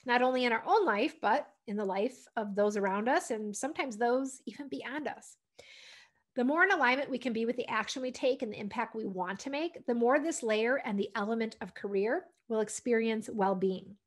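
An American woman, around her thirties or forties, giving an encouraging, conversational instructional talk to camera as a wellness coach. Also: a little high. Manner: encouraging, conversational, often ending points with "right?". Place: furnished room at home, indoors. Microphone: desktop condenser microphone.

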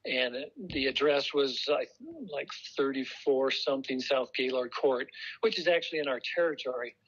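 A middle-aged American man speaking politely and haltingly on a recorded phone call. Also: mid-range voice, steady tone, a bit bright, breathy edge. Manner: hesitant, halting. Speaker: congregation elder on a phone call. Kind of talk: casual, polite phone conversation.

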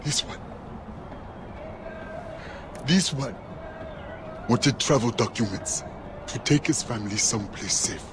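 An actor playing a zealous, middle-aged preacher haunted by sin in a video game, with a grandiose, sermonizing delivery. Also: male voice, mid-range voice, expressive, slow, pauses often. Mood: brooding, remorseful. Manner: fervent, grandiose, quoting scripture like a sermon. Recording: game audio with clear outdoor ambience noise.

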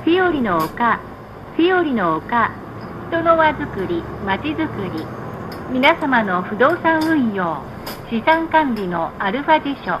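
A woman reading scripted stop announcements on a bus in a measured, formal, polite manner. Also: sits high, very animated. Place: played over speakers inside a moving bus. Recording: muffled, noisy recording inside a bus. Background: bus engine and road noise.

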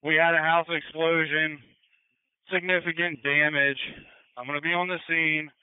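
A man's voice: on the high side, little pitch movement, normal speed, clear, resonant tone, dry recording. A man serving as incident commander, giving terse, procedural orders over fire radio. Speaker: battalion chief, incident commander. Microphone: two-way emergency radio. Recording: tinny, narrow-band fire radio transmission.

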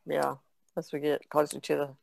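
A woman chats casually as a podcast panelist. Her voice is full of heavy creak and fry, recorded dry. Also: deep voice, level tone, normal speed, a little mellow, no echo. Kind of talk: casual chat.

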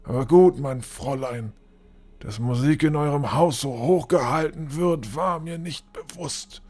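A man's voice: on the high side, a little slow, darkish tone. A man acting a pompous, condescending older lord with formal, theatrical courtesy in a fantasy audio drama. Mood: mocking, challenging. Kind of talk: fan-made German fantasy audio drama.

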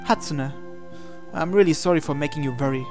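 A man plays an injured young visual novel hero with a fairly high voice. He talks casually and reassures gently, with music underneath. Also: brisk, lightly acted. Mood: apologetic. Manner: casual, colloquial.

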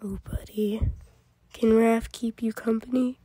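A woman voicing a big, gentle, protective mutant turtle brother in a cartoon comic dub, tender and reassuring. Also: fairly high pitch, little pitch movement, unhurried, slightly rough, no room sound. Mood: comforting. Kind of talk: fan comic dub acting.

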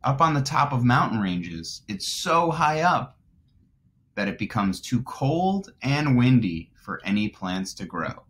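A man in his twenties, a teacher reading aloud to young children and explaining as he goes, deliberately stressing key words. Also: mid-range voice, big pitch swings, fairly bright tone, a little hiss or hum. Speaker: first grade teacher.

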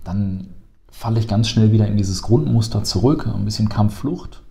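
A man in his thirties, seated on a sofa in a bright office room, explaining stress and thinking to a colleague in a fluent, lecturing way with frequent filler sounds, recorded on a lapel mic. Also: on the low side, normal speed, vocal fry. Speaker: emergency medicine educator. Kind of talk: explanatory conversation.

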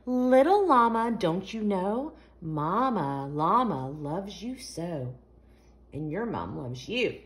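Female storytime host for young kids, lively swooping delivery at an unhurried pace, sing-song read-aloud. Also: medium pitch. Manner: sing-song, asks the young listeners questions. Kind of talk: expressive read-aloud of a picture book for young children.

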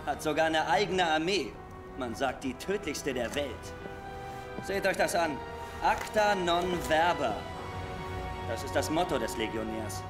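An actor playing a nervy, know-it-all young soldier in a comedic military game, wordy and animated. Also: male voice, a little high, unhurried, music in the background. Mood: eager, explaining.

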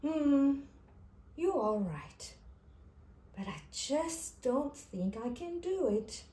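Woman doing a shy, nervous young platypus for a storybook: soft, hesitant, lively pitch, roomy sound. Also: very slow, heavily acted.

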